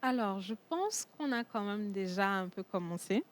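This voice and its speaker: A woman from Togo whose first language is Tchokosi, a perfume entrepreneur speaking as a guest in a TV studio interview, pausing often on fillers. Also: mid-range voice, expressive. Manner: hesitant, many fillers and restarts.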